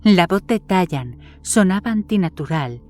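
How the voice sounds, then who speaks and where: A woman's voice: lowish voice, expressive, a little slow, a little mellow. A woman reading a horror story as a scripted audiobook narration. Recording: background music under the voice. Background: music.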